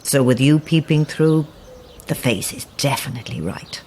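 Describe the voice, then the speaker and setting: A woman's voice: very deep voice, animated, moderate pace, darkish tone, a little hiss or hum. An actress playing a sweet, cooing elderly woman neighbour with a faintly sinister undertone in a naturalistic audio horror drama. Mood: affectionate. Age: older. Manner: cooing, coaxing, grandmotherly. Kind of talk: naturalistic immersive radio drama acting.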